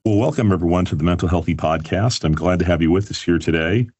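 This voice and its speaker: A man hosting a podcast interview, welcoming his guest in a relaxed way with some fillers. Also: sits low, fast talker, soft background music. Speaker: podcast host.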